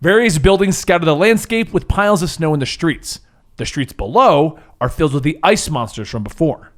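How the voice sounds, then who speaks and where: Higher-voiced man running a tabletop game. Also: lively intonation, normal speed, fairly bright tone. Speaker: game master. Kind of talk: scripted recap narration with casual banter.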